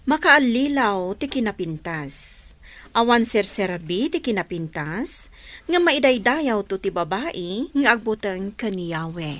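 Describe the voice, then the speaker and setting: Slow, expressive woman radio host. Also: mid-range voice. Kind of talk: scripted devotional radio introduction with scripture reading.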